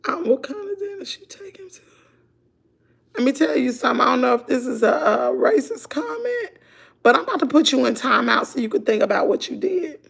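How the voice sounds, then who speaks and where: A middle-aged woman with a Southern US accent, chatting hoarsely to her viewers at home on a phone livestream. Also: sits high, sing-song, a little fast. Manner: chatty, laughing, drawling, talks to "y'all". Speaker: vlogger on a morning livestream. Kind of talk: casual chat with viewers. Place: at home indoors.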